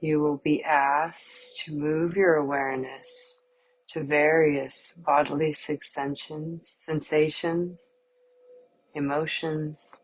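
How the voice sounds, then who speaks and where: A woman guiding a yoga nidra meditation with calm, soothing, measured scripted instructions. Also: sits low, husky, low-quality recording.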